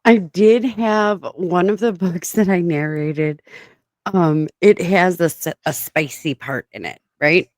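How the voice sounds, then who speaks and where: A woman's voice: fairly low pitch, slow pace, darkish tone, no room sound, studio quality. A woman with a smoky voice chatting casually as a podcast host, self-mocking and laughing often. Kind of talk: casual chat.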